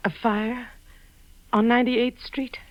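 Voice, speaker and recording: An American actress playing a guarded, evasive wife, defensive and insistent, in 1940s radio suspense style. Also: female voice, fairly high pitch, little pitch movement, takes it slowly. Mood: tense, furtive. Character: evasive, defensive wife hiding something from her husband. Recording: muffled, noisy old radio recording with clicks.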